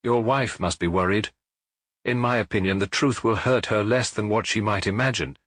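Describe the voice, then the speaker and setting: A man voicing a weary older police detective who questions calmly and firmly, with measured compassion. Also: fairly low pitch, dry recording, studio quality. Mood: firm, gentle.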